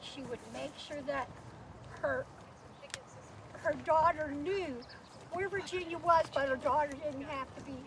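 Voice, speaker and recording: Female guest, high-pitched, casual reminiscence, noisy open-air recording with no proper mic. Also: unhurried, long pauses. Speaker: guest sharing a tribute. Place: outdoors in an iris garden. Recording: noisy outdoor recording without microphone.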